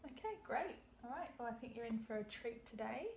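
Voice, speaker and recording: A woman's voice: a little high, expressive, brisk, vocal fry, darkish tone. A young woman explaining an iridology consultation step by step in a reassuring way. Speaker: iridology practitioner. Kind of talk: practice consultation. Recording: echoey room, faint background hum.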